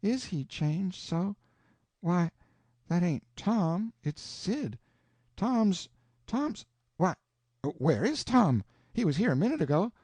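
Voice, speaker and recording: A man's voice: high-pitched, big pitch swings, slow, pauses often, darkish tone, husky, no echo, pitched above the performer's own voice, full character voice. Rich: A fussy old country aunt, all scolding and exclamations, as a male narrator puts her on in a lifted, swooping voice. The reading is close and dry, with no room around it. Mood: bewildered.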